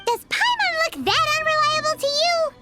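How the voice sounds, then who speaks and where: A woman voicing a tiny, excitable childlike fairy companion with a squeaky voice in an anime-style game. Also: moderate pace, loud music behind the voice. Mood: indignant, nervous. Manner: refers to self in third person, squeaky.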